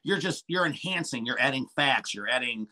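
Animated, bright-voiced man. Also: medium pitch, normal speed. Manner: animated, frequent restarts and fillers. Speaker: wrestling manager and commentator. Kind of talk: casual podcast interview chat.

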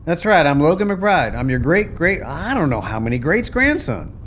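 A man's voice: fairly high pitch, sing-song, normal speed, fairly bright tone. Guy doing a down on his luck american rancher, plain talker, voice jumps all over, bit of background noise, radio play. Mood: earnest. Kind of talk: family radio play acting.

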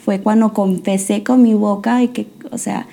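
Soft-spoken young woman telling her story. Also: medium pitch, fairly even intonation, normal speed, gravelly. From United States. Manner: hesitant, many fillers and restarts. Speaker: guest. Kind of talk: testimony interview.

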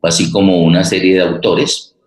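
A man with a Colombian accent hosting a live programme, speaking in a halting, deliberate way and often repeating words. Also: low voice, flat delivery, noisy background. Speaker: host of a radio theatre programme. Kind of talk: live presentation to an online audience. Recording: roomy, echoing voice.

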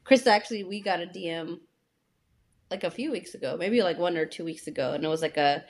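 A woman's voice: on the low side, fairly quick. A young woman co-hosting a podcast in casual chat, upbeat and slangy, with lots of fillers. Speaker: podcast co-host.

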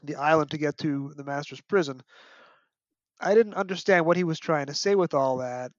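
A middle-aged man chatting about books as a podcast guest, telling things in an opinionated, animated storytelling way. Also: on the high side, moderate pace, no room sound. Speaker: podcast guest, fan and parent. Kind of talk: casual book discussion. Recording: dull, dry lo-fi audio.

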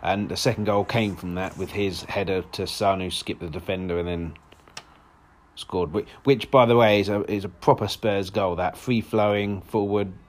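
Hoarse guy, kinda low voice, rambling podcast chat about the match. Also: steady tone, normal speed. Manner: rambling, frequent restarts and fillers. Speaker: football podcast host. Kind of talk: casual solo podcast chat.

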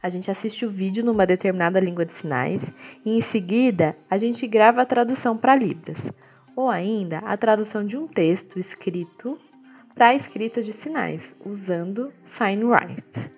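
Woman voicing interview answers, calm and steady, mid pitch, soft music under. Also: normal speed. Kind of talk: interview answers voiced in translation.

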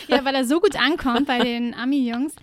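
A chatty German woman co-hosting a podcast, with a voice a little higher than most and lots of easy fillers. She talks in a relaxed, friendly back-and-forth. The sound is dry and close, recorded at home with the windows shut. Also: no echo. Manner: chatty, laughs easily, many fillers. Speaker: podcast co-host. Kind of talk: casual chat.

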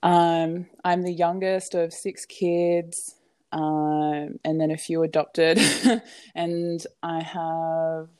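A woman's voice: fairly low pitch, dry recording, studio quality. A young Australian woman with an Australian accent, a podcast guest chatting in an easygoing way with hesitant fillers. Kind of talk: casual interview chat.

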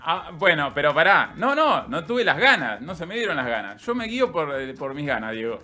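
A man with an Argentine accent hosting a casual sports chat, teasing and animated as he tells stories. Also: a little high, a little fast, soft background music. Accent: Argentine Spanish.

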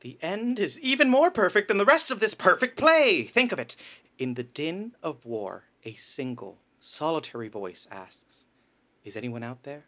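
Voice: medium pitch, lively intonation, moderate pace, a bit bright, lightly acted. A performer playing a pompous, tyrannical theater director in a comedic radio play, declaiming grandly and with exasperation. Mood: grandiose, reverent.

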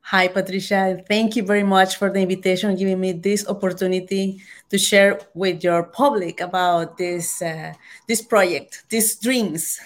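Casting: Salvadoran-accented woman, Spanish native, hesitant interview guest, mid pitch. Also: fairly even intonation. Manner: halting, many restarts and fillers. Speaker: author and journalist guest.

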